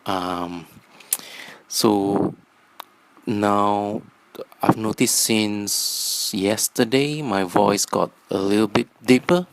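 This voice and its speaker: Slow, gravelly young man vlogging. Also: on the low side, rough recording. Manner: halting, many ums and restarts. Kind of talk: casual vlog monologue.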